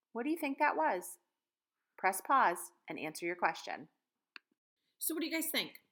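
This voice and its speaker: Lady teacher in her 30s talking to little kids at home, really lively pitch, encouraging, simple questions. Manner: encouraging, addressing children directly with simple questions. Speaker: elementary school teacher. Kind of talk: children's read-aloud lesson. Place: room at home.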